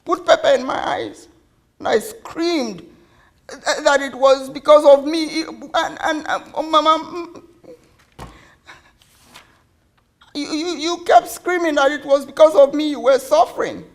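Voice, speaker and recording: A woman voicing a hurt, anxious young daughter in a story, halting and pleading as she questions her mother. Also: fairly high pitch, gravelly, a little mellow, heavily acted. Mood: hurt, agitated.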